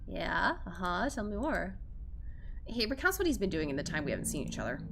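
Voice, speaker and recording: A woman's voice: medium pitch, big pitch swings, brisk, vocal fry, fairly bright tone, slight background noise. A woman streamer reading a visual novel aloud with theatrical, casually sweary live commentary. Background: game music.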